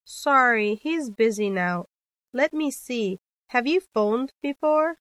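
A woman reads scripted exam dialogues in a clear, careful textbook manner. Her voice sits a little high, and the recording is dry and close-miked. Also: animated, slow pace, fairly bright tone, dry recording. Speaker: dialogue reader on a listening test.

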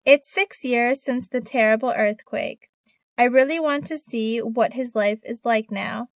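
Eager teenage girl for an exam dialogue, read by a woman, fairly high and dry. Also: measured pace, no echo. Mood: earnest. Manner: clear, careful textbook delivery. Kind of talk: scripted listening-test dialogue.

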